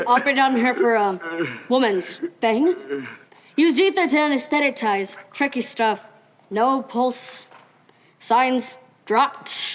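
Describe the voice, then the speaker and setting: A teenage female student acting on stage as a stern, proper Victorian male doctor. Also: high-pitched. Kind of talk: high school stage play acting.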